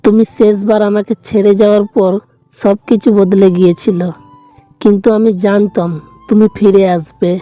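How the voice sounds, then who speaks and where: An actor playing a mysterious, soft-spoken long-lost lover in an audio drama, slow, cryptic and intense. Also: male voice, high voice, flat delivery, dry recording, soft background music, natural voice. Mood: wistful. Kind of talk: audio story drama acting.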